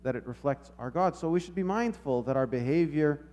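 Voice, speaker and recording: Man preaching a halting, reflective sermon. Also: a little high, mellow. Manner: halting, reflective, repeats words while explaining. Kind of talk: sermon, explanatory and semi-extemporaneous.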